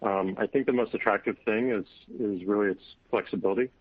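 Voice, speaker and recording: A man answering as a company executive on an earnings call, speaking off the cuff with halting, hesitant phrasing. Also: fairly low pitch, fairly even intonation, husky, dry recording. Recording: narrow, dry phone-like conference line.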